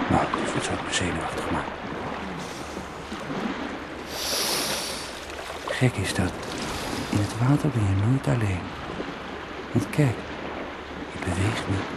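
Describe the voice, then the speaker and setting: A man's voice: fairly low pitch, gravelly. An actor playing a stubborn swimmer alone at sea in a radio play, philosophising and mocking himself as he reasons his way onward. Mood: determinedly calm. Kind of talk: radio play inner monologue. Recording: very noisy old radio play recording.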